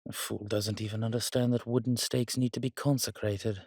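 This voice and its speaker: Man acting a haughty low-voiced vampire warrior. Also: little pitch movement, darkish tone, no room sound, clean studio sound. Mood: amused disdain. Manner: haughty, theatrical, deliberate. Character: arrogant, menacing male vampire warrior, suavely affectionate. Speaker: audio roleplay voice actor. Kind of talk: dramatic roleplay monologue, addressing a listener.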